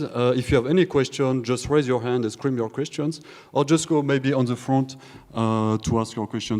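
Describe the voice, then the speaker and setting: A young man with a French accent talks to a conference audience in a hall, and his voice rings with long reverb. He jokes easily and hesitates often, with plenty of "uh" and restarts. His pitch sits in an ordinary middle range. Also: a little mellow. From France. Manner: joking, hesitant, many fillers and restarts. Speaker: conference speaker. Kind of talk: live conference talk. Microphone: handheld or stage microphone.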